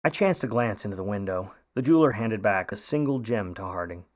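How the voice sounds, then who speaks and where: A man's voice: on the low side, lively intonation, a little fast, natural voice. A man playing a wry 1950s private eye who talks and narrates in slangy old radio noir style. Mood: world-weary narrating. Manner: wry, slangy, hard-boiled. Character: grudging wisecracking 1950s male private eye, hard-boiled narrator. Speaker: lead actor and narrator in a radio play.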